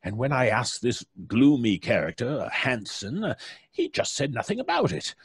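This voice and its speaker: A pompous, wildly swooping stage star. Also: male voice, medium pitch, wildly swooping pitch, moderate pace, husky, no room sound, studio quality, exaggerated voice. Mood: boastful, chummy. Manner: effusive, chuckling, grandiose. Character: hearty, pompous, self-satisfied theatrical actor. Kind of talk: old-time radio suspense acting.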